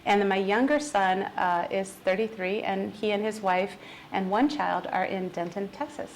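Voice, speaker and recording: A woman aged fifty-nine chatting casually as an interview guest, talkative and quick to laugh. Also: mid-range voice, fairly even intonation, slight background noise, poor audio. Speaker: podcast guest, business owner.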